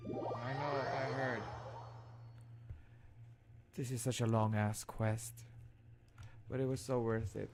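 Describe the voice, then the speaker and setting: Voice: medium pitch, steady tone, slow pace, pauses often, over music. A streamer giving casual commentary while playing a game.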